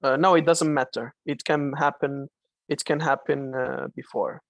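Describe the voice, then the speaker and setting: A man's voice: medium pitch, steady tone, moderate pace, vocal fry, dry recording. A man teaching a live online trading class, coaching casually and reading out questions from the chat. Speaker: trading instructor.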